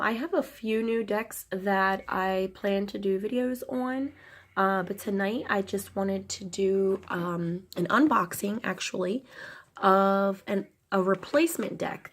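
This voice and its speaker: A young woman with a cold, her voice nasal and a little crackly, chatting casually and rambling as she unboxes a tarot deck for her channel. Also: mid-range voice. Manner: rambling, many ums and restarts.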